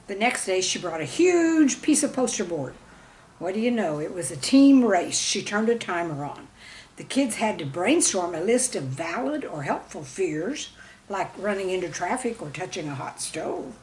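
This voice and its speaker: Woman telling children's stories, very lively pitch. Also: moderate pace, a little hiss or hum. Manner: expressive storybook delivery with dramatic emphasis. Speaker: storyteller and author reading own stories. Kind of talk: scripted children's story narration.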